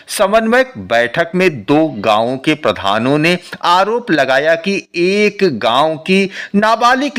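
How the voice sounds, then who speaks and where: Guy reading local news script, kinda high voice, slow, pitch moves around a lot. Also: a bit bright. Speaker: news narrator.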